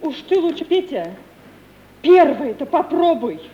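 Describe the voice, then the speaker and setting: Slow, high-voiced young sweetheart. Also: female voice, very animated, mellow. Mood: anxious, tender. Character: anxious young woman in love, gentle and practical. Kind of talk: mid-century theatrical radio play acting. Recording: muffled, noisy 1950 radio play recording.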